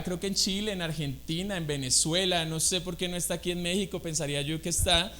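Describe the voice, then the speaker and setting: High-voiced Colombian man preaching. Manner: conversational, animated, asks the congregation questions. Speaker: guest preacher. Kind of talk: sermon. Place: church.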